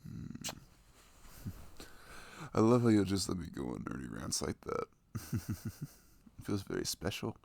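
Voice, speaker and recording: A young boyfriend voiced by a man talks close and tender in a cozy ASMR roleplay, his low voice moving freely up and down. There is some gravelly creak in it as he rambles, sweet and nerdy, to his partner. The sound is dry and intimate. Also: sing-song, unhurried, pauses often, darkish tone, no room sound, heavily acted. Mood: tender, grateful. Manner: tender, rambling, giggly, gushing about games.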